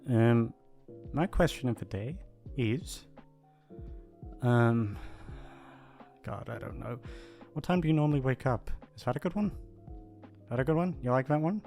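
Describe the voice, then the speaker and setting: A man with a mid-pitched voice rambles casually to his audience over music. He is hesitant and self-deprecating, drifting along without much direction. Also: unhurried, creaky, long pauses, darkish tone. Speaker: voice actor signing off to listeners. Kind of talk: casual rambling outro chat.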